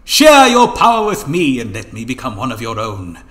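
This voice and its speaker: A man's voice: medium pitch, huge pitch swings, normal speed, bright, forceful tone. A man playing a mocking, theatrical villain schemer in an audio drama, silky and taunting in his florid delivery. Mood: persuasive, grandiose.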